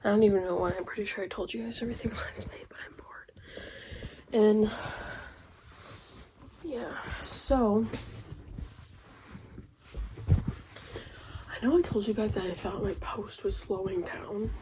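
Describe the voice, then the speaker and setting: A young woman vlogging casually about her reading, rambling with lots of fillers, her voice going hoarse. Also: medium pitch, unhurried, long pauses, in a whisper, rough recording. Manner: rambling, filler-heavy, soft-spoken. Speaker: book vlogger. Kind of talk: casual vlog chat.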